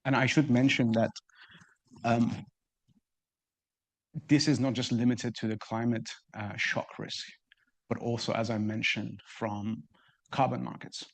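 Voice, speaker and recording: A man's voice: mid-range voice, little pitch movement, unhurried, gravelly, long pauses, rough recording. A man presenting at a seminar and answering questions in a hesitant way, often stammering and repeating words as he goes. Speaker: research fellow presenting seminar. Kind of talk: seminar talk and audience Q&A.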